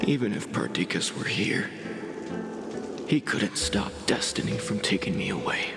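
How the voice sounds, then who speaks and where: An actor playing a proud, loyal warrior on his deathbed in a video game, speaking weakly and haltingly with tender resignation. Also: lowish voice, lively intonation, creaky. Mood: weak, tender farewell. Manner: weak, halting, drawn-out farewell speech. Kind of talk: dramatic video game acting. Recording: echoing reverb under loud music. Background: loud game music.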